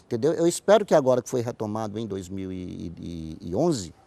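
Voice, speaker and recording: A man's voice: on the low side, expressive, normal speed, creaky, warm tone. A middle-aged man with a Northeastern Brazilian accent from Paraíba, talking at length and explaining in a TV interview. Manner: talkative, explanatory, repeats and rephrases. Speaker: interview guest, writer and playwright. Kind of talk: conversational TV interview answers.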